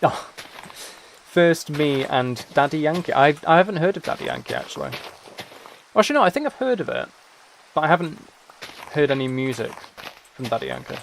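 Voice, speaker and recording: A man's voice: on the high side, huge pitch swings, brisk, vocal fry, pauses often. Tired man chatting casually over background noise. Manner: rambling, self-interrupting, replying to chat comments. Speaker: livestreamer and musician. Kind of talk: casual livestream chat while gaming.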